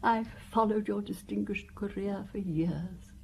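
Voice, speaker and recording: An actress playing a dainty, composed elderly widow in a radio drama, earnest and precise with dramatic pauses. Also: female voice, mid-range voice, gravelly, darkish tone, slight background noise, poor audio. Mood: confiding. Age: older. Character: dainty, genteel, composed old French widow.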